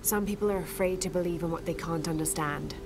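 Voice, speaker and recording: A woman playing a young, determined, thoughtful adventurer in naturalistic video game dialogue, speaking in a measured, inquisitive way. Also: music in the background, lightly acted. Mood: gentle, inquiring. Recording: game ambience and rumble behind voice.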